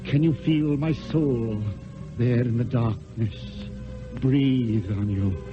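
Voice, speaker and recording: An actor playing a passionate, eloquent poet wooing in secret, in lyrical theatrical declamation with dramatic pauses. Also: male voice, mid-range voice, lightly acted. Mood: ardent, rapturous. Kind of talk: mid-century theatrical classical stage acting. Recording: dull old radio recording with music.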